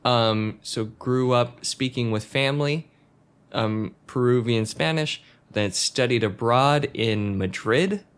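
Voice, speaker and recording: A man's voice: medium pitch, takes it slowly, clean tone. A man co-hosting a podcast in casual chat, explaining things easygoingly with hesitant fillers. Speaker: podcast co-host.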